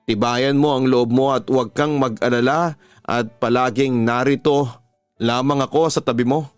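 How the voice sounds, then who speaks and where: A man's voice: medium pitch, steady tone, normal speed, clean tone, no echo, soft background music, natural voice. A Filipino man voicing an anguished young mother who pleads for her sick child in a radio drama story. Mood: pleading.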